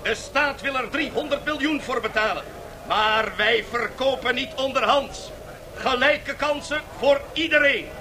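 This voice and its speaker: A male actor playing a brash, mocking auctioneer in a radio play, with loud, theatrical market-barker patter. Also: extremely high pitch. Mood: brusque, hawking. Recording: faintly noisy 1970s radio drama tape.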